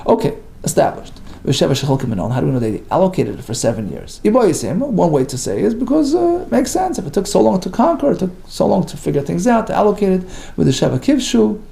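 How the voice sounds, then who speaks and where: Lively, gravelly man teaching Talmud. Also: high voice, fast talker. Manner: reads Hebrew and Aramaic phrases then translates, lively and emphatic. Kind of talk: daily page lesson, reading and explaining.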